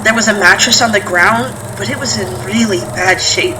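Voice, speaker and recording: A woman's voice: mid-range voice, normal speed, lightly acted. A woman playing a guarded, impatient daughter and sister in a naturalistic audio drama, with terse, prodding, conversational delivery. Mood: uneasy. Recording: distant from mic, clear background noise.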